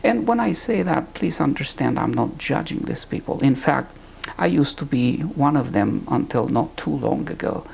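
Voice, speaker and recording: A man from Romania, giving an earnest, deliberate recorded teaching talk on the Bible and pausing to find his words. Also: medium pitch, lively intonation, gravelly, a little mellow, a little hiss or hum.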